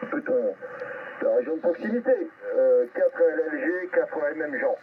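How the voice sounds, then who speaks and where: Through heavy static on a thin, narrow radio link comes a middle-aged or older man. He sounds like a casual radio operator at sea, explaining hesitantly with pauses. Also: fairly high pitch, lively intonation. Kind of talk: casual radio contact with call signs. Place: aboard a sailing boat, over radio. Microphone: radio microphone. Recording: distant, tinny, noisy shortwave radio reception.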